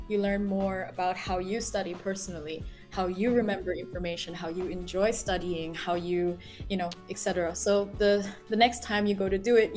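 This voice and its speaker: A woman co-hosting a casual chat, explaining things in an animated way with many fillers. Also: mid-range voice. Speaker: co-host.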